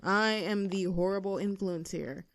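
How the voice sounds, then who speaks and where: A woman's voice: medium pitch, measured pace, natural voice. A young woman playing a rebellious teenage girl bully in an audio roleplay, with a sarcastic, teasing, brash manner. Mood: cocky teasing. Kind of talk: scripted roleplay audio drama.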